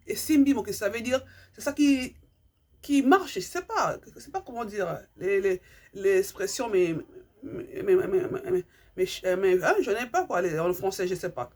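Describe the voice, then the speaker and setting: Husky-voiced woman. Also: mid-range voice, moderate pace, darkish tone. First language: Lingala. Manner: earnest, repeats herself, hunts for words. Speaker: vlogger. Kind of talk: impassioned monologue to subscribers.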